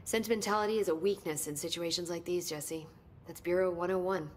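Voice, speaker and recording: An actress playing an earnest, sharp-witted research scientist in a video game, articulate and clinical in her wording. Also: lowish voice, steady tone, brisk, a little hiss or hum, close to an everyday voice. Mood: businesslike. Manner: articulate, clinical wording, emphatic when stirred. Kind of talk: naturalistic video game acting.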